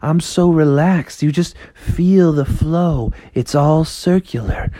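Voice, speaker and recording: A man's voice: on the high side, slow, dark tone, no room sound, clean studio sound, pitched above the performer's own voice. A man voicing a friendly, laid-back frog in a children's story, drawling in surfer-dude slang. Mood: chill.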